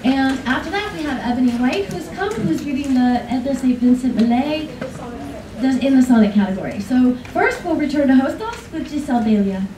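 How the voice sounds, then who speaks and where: A woman announces the next contestants in a relaxed, informal way from a podium in a classroom. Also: sits high, a little fast. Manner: informal, with restarts. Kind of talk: casual announcement. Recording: roomy room, far-off camera, noise.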